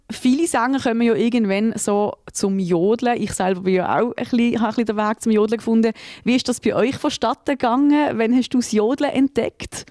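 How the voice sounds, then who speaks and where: Swiss german lady asking interview questions, kinda high voice, dry close sound. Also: darkish tone, no room sound. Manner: friendly, inquisitive questioning. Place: small indoor studio room. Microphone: handheld mic.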